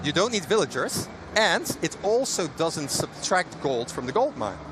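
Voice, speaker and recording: Male dev guest, a bit high-voiced, rambling and friendly, with booth noise clearly audible behind him. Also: normal speed. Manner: relaxed, explanatory, many fillers and restarts, laughs often. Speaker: game developer guest. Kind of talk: casual booth interview. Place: busy outdoor convention booth.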